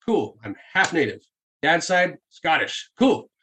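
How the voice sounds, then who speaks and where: A man's voice: fairly high pitch, big pitch swings, a little slow, bright, forceful tone, slight background noise. A man chatting casually on a podcast, telling stories with frequent laughs and swearing. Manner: storytelling, swears freely, laughs often.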